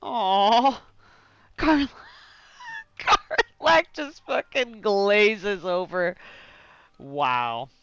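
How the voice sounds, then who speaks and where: A woman's voice: mid-range voice, lively intonation, very slow, a bit bright, smooth, lo-fi sound. A woman streaming a video game with casual, slangy, sweary reaction commentary.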